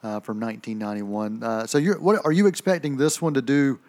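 A man hosting a radio movie review show, easygoing and quick to agree in casual chat. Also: medium pitch, fairly even intonation, darkish tone. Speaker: radio host.

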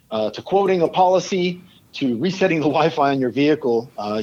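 A Puerto Rican startup founder, a man, giving a polished pitch with filler ums in a casual interview. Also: lo-fi sound. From Puerto Rico. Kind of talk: casual interview, switching Spanish and English.

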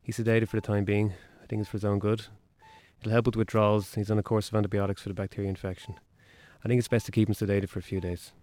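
A man's voice: fairly low pitch, level tone, warm tone, natural voice. An actor playing a matter-of-fact male hospital doctor in a radio drama, clinical in manner. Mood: clinical, serious. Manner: clinical, measured in tone. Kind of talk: naturalistic radio drama acting.

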